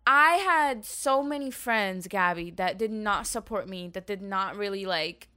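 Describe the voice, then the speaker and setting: A woman's voice: mid-range voice, lively intonation, unhurried, pushed and bright, smooth. A woman hosting a casual chat, speaking in an earnest, reflective way.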